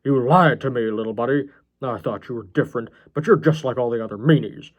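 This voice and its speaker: A man's voice: mid-range voice, fairly quick, muffled recording, lightly acted. A man voicing an unhinged grandpa who is childish, wounded and menacing, in a folksy, aggrieved manner, for a story reading. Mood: wounded, accusing. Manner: folksy, aggrieved, calls people little buddy.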